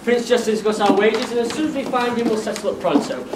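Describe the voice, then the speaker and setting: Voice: medium pitch, quick pace, natural voice. A performer playing a bossy, exasperated army sergeant in a pantomime, barking at the troops on a theatre stage. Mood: brisk. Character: bossy army sergeant drilling hapless soldiers. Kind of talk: broad pantomime stage acting. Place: theatre stage before an audience. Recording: echoey theatre, music and audience noise.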